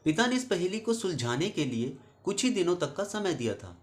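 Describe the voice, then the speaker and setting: Higher-pitched man narrating a story. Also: big pitch swings, moderate pace. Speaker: storyteller and channel host. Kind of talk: scripted narration of a moral story.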